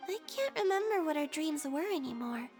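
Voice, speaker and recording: Tiny, high, innocent little girl. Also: animated, a little fast. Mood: innocent, wistful. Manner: childlike, gentle, hesitant. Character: sweet, innocent little creature girl, forgetful and trusting. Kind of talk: video game voice acting. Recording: music under the voice.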